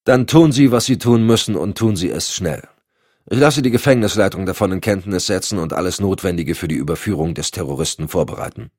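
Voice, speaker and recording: A man's voice: low-pitched, fairly quick, a little mellow, dry recording, studio quality. A man voicing a grave, decisive male president giving firm instructions. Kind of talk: audiobook acting.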